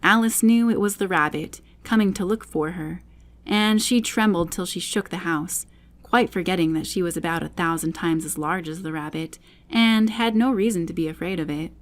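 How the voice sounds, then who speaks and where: A woman narrating a children's classic as an audiobook reader, with expressive storybook delivery. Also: lowish voice, normal speed.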